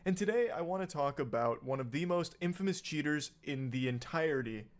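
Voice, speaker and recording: A man narrating a scripted commentary video in a sarcastic, energetic storytelling manner. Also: on the high side, a little fast.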